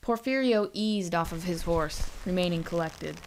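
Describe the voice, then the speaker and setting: A woman's voice: on the low side, normal speed, a little hiss or hum, poor audio. A woman storyteller reading scripted fantasy narration in an audiobook manner.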